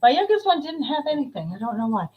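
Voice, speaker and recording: A woman's voice: sits high, sing-song, fast talker, highs cut off. A woman from New Jersey, a paranormal investigator guest, telling stories earnestly in casual chat.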